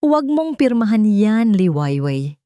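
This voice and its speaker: A woman's voice: mid-range voice, big pitch swings, a little slow, warm tone, dry recording, voice pushed up. A woman playing an authoritative, protective male landlord in an audio drama, speaking firmly and emphatically. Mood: angry. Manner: firm, emphatic, commanding.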